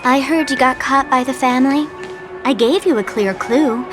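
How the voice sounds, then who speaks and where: A woman plays a playful, cruel masked trickster who teases every word, her voice sitting fairly high. The lines carry the polish of game voice acting, with music playing clearly underneath. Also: normal speed, lightly acted. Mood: taunting. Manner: teasing, sly, taunting. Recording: studio voice over game music.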